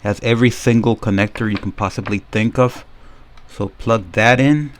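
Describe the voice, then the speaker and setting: A man's voice: lowish voice, moderate pace, noisy background. Casual man demonstrating a product hands-on. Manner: repeats himself, narrates each step as he does it. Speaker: product reviewer.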